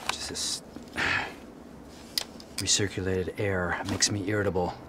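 A man's voice: sits low, little pitch movement, a little slow, noisy background. An actor playing an irritable, sarcastic man in his thirties in a film drama, with music underneath. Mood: irritated, hurt. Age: 30s. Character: irritable, jilted man in a suit, tense and sarcastic.

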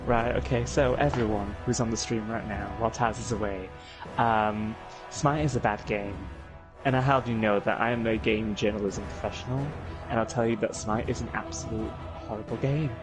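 A man's voice: fairly even intonation, moderate pace, low-quality recording. A young man with a Yorkshire accent, streaming a game with a friend and ranting theatrically. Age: young adult. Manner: theatrical, self-pitying, swings into high whiny voices. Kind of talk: casual gaming chat. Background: game music.

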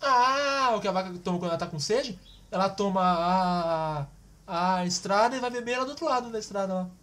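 A woman's voice: medium pitch, expressive, brisk, fairly bright tone, close to an everyday voice. A woman voicing a goofy, cheeky, dim young boy in a Brazilian children's cartoon, whiny and clownish. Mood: clueless. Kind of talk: children's cartoon comedy dialogue.

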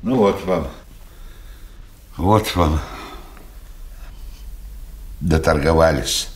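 An actor playing an agitated, fearful go-between bringing grim news in a Soviet radio drama about war. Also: male voice, rumbling low, very slow, full character voice. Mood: grim, defeated.

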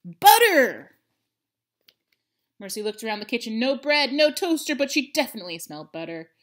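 Female storybook reader, thirties, expressive read-aloud with highly varied intonation and dramatic emphasis. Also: moderate pace. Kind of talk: animated children's read-aloud. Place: indoors, living room at home.

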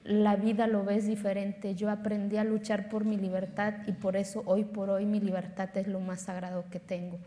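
A woman's voice: medium pitch, flat delivery, normal speed, hardly any pauses, dark tone. A woman in her thirties from Oaxaca, Mexico, with a Mexican accent and Zapotec as her first language, giving an earnest, emphatic speech on a stage before an audience. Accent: Mexican Spanish. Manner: earnest, emphatic, repeats phrases for force. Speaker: speaker at a talk. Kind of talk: public speech. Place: large hall on a lit stage before an audience. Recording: reverberant hall.

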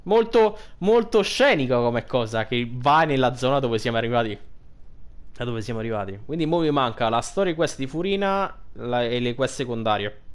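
A man's voice: mid-range voice, lively intonation, moderate pace, smooth. A man streaming a game with casual, chatty commentary. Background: video game music.

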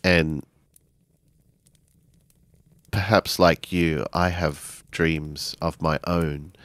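Deep-voiced man, dry close sound, halting and deliberate storytelling at a game table. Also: steady tone, fairly bright tone, dry recording. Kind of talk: improvised narration of a tabletop game.

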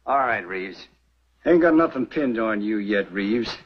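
An actor playing a gruff, sly middle-aged frontier sheriff who talks in a taunting drawl. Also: male voice, on the low side, expressive, unhurried. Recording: dull old TV soundtrack with faint hiss.

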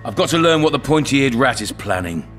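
An actor playing a cunning, blunt and sardonic town commandant in a dark fantasy video game. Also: male voice, mid-range voice, sing-song, brisk. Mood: scheming, sardonic. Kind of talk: dramatic video game acting. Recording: music behind the voice.

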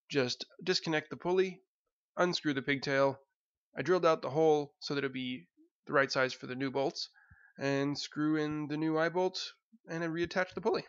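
A man's voice: a little high, a bit bright, dry recording, dull audio. A young man narrating his home project step by step, plain and explanatory, with some fillers. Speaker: DIY video host.

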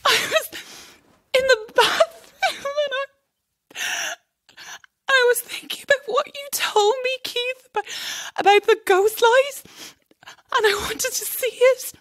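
A frightened young Irish chorus girl in a horror audio drama. Her voice is extremely high, tearful and halting. She sounds pleading and badly shaken. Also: a bit bright, full character voice. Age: young adult. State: frightened, near crying. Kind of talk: naturalistic audio drama acting.

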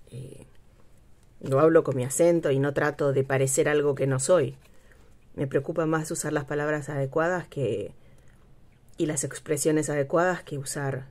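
A middle-aged woman talks through a craft project at her table. Her voice sits low, and she speaks in a relaxed, meandering way. She often stops to hunt for the right word. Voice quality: low, unhurried voice with many pauses and fillers. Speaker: craft channel host. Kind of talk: casual craft tutorial, thinking aloud. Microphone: overhead camera, speaker off screen.

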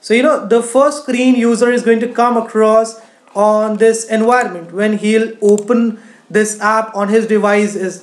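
A woman's voice: on the high side, a little mellow. A woman with an Indian accent narrating a screencast tutorial as a coding instructor, explaining step by step with frequent verbal fillers. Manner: explanatory, frequent "you know". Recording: roomy, echoing voice-over.

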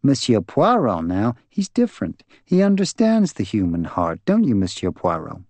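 A man's voice: lowish voice, wildly swooping pitch, normal speed, darkish tone, no echo, exaggerated voice. A man voicing a blunt, resentful older woman in an audiobook, scornful and sullen. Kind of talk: dramatised audiobook dialogue.

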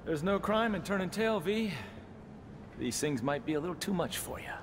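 Cocky, gruff demon hunter. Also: male voice, sits high, expressive, moderate pace, background noise. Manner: casual, wry, swaggering. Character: cocky, laid-back middle-aged demon hunter, wry hero. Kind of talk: video game voice acting. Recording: game ambience behind the voice.

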